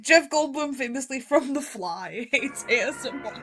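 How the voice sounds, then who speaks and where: A woman's voice: sits high, expressive, moderate pace, fairly bright tone, soft background music. A woman in casual, playful chat among friends, giggly and joking as she goes.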